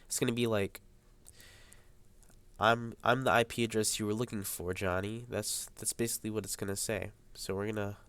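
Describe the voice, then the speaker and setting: A young man with a stuffy nose, a high school student hesitantly explaining a networking lab over a screen recording. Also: fairly even intonation, normal speed, a bit bright, clear, resonant tone. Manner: hesitant, many ums and uhs. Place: at a computer, voice over screen capture.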